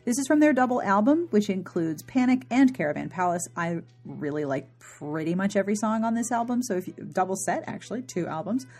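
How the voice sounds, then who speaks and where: A woman hosts a podcast in a chatty, informal way with a wry streak. Her voice sits at a middling pitch and has a husky, breathy edge. Music plays behind her as she talks through a casual outro. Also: animated, brisk, darkish tone. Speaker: podcast host.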